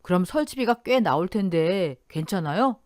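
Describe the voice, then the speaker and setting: A woman's voice: fairly low pitch, lively intonation, normal speed, darkish tone, dry recording, clean studio sound, close to an everyday voice. A woman voicing a real estate broker who hesitates and talks evasively.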